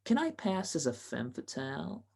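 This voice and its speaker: Woman playing excitable, melodramatic young society girl. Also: low voice, normal speed, gravelly, breathy edge, heavily acted. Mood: dramatic, scheming. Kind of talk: 1940s radio comedy acting.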